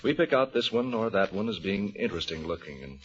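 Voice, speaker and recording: A male announcer reads scripted copy in a fairly low voice, in old-time radio style. The recording is dull and muffled. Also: big pitch swings, a little fast, a little hiss or hum.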